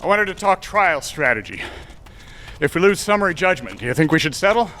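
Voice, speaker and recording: Curt, bright-voiced male boss lawyer. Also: a little high, very animated, fairly quick, a little hiss or hum. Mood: brusque. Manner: clipped, terse. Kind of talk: audio drama acting.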